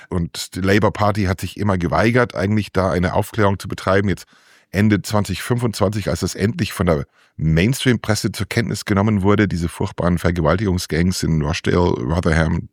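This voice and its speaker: A man with a low-pitched voice comments on politics as a correspondent in an interview. He talks without a script. The sound is dry, with very little room in it. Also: dry recording. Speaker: political correspondent reporting from London.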